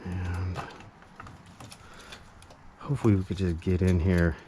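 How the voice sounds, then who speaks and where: A man's voice: deep voice, little pitch movement, slow, warm tone. A man chatting casually and unscripted as he works on a repair for a vlog, slangy, half talking to himself and half to viewers, recorded on an action camera. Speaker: amateur repair vlogger. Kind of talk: casual unscripted chat while working on a repair. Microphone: GoPro action camera. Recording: noisy action-camera audio.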